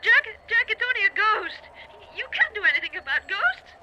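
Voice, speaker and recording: An actress playing a fearful, pleading wife with urgent, emphatic delivery in a 1940s radio melodrama. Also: female voice, very high-pitched, very animated, normal speed. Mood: desperate insistence. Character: panicked wife pleading desperately, insistent and fearful. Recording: muffled noisy old radio recording.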